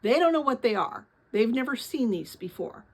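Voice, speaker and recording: Female storybook reader, slightly high and breathy-husky, lively melodic range, dramatic read-aloud for kids. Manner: expressive, pauses to address young listeners with questions. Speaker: read-aloud narrator of a children's novel.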